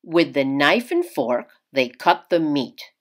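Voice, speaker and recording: A patient older great-uncle from a storybook, read by a woman. He teaches in a measured, worldly and encouraging way, taking his time and stressing key words with wide swings of pitch. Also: sing-song, exaggerated voice. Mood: instructive. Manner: measured, instructive.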